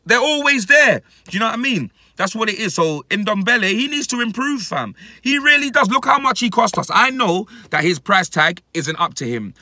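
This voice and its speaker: A man in his thirties with a London accent, giving an animated, emphatic fan rant to camera in heavy, repetitive street slang. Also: high voice, dry recording. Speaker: football fan reacting to his club. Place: inside a parked car. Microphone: phone at arm's length.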